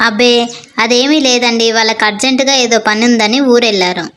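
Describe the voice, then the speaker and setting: Lazy, dismissive young village wife , acted by a woman, whiny and fairly high, for moral-story dialogue. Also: a little fast, fairly bright tone, close to an everyday voice. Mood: whiny, irritated. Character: lazy, sleepy young village wife who bosses her husband.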